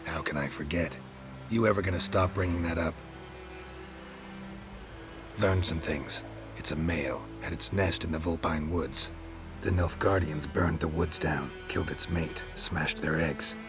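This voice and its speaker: Video game monster hunter. Gruff, stoic, rock-bottom pitch, terse and dry, over clear background music. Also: male voice, unhurried. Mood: dry, matter-of-fact. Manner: terse, deadpan.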